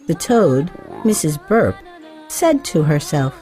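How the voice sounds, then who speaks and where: Lady reading a kids bedtime story, slow and careful, mellow lowish voice, faint music behind. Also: big pitch swings. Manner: measured, careful phrasing for young listeners. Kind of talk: scripted children's story narration.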